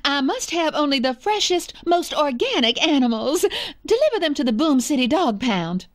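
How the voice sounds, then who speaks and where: A woman's voice: sits high, big pitch swings, normal speed. A woman playing an imperious, menacing female villain boss in a video game, theatrical and falsely sweet. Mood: gloating, sweet. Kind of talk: video game cutscene acting.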